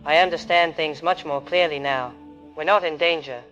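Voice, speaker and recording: A man's voice: on the high side, soft background music, close to an everyday voice. Man acting an eerily serene researcher, muffled log. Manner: calm, measured, detached, clipped phrases. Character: eerily serene male researcher, secretly changed, logging recordings. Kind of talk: recorded diary monologue in an audio drama. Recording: dull lo-fi log recording.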